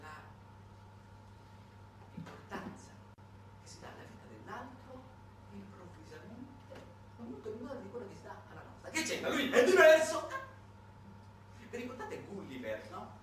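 A man's voice: high voice, sing-song, moderate pace, long pauses, a crowd in the background. A man performing a satirical theatrical monologue, conversational yet dramatic, with big swings in volume. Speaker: solo theatre performer and narrator. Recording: very echoey, distant, noisy stage recording.

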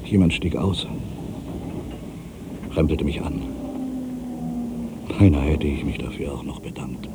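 An actor playing an aging, world-weary writer in an introspective, theatrical literary monologue, anxious and brooding, given to grandiose fancies. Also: male voice, a bass voice, a little slow, pauses often, heavy background noise. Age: older. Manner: theatrical, introspective, swinging between hushed and emphatic delivery.

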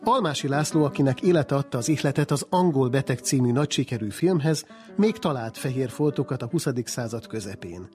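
A man's voice: mid-range voice, lively intonation, darkish tone. A man hosting a radio programme, delivering scripted narration and questions to guests. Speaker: radio host. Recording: music under the voice. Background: music.

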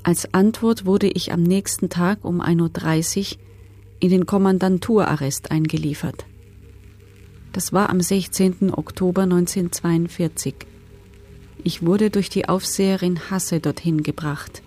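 An actress playing a former female camp prisoner who gives subdued, sober, measured testimony in a documentary radio drama. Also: fairly low pitch, fairly even intonation, dark tone, faint music behind.

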